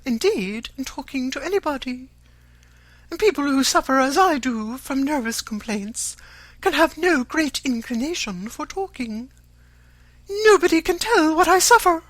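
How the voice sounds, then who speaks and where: Shrill, fretful scolding mother. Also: a bit bright, no room sound. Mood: self-pitying. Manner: complaining, melodramatic. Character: shrill, fretful middle-aged English mother, self-pitying and scolding. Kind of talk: audiobook character voice.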